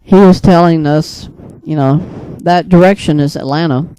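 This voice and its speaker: A man casually telling an unscripted story to viewers as a video creator, rambling and often halting mid-thought. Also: on the high side, dark tone, clean tone, rough recording. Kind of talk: casual unscripted monologue recounting a dream.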